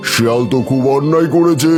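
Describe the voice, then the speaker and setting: A man's voice: fairly high pitch, quick pace, a little mellow, lightly acted. A man voicing a self-serving, gluttonous tiger king with a pompous manner in a children's cartoon. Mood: mock sympathy. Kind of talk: children's fairy-tale cartoon acting. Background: music.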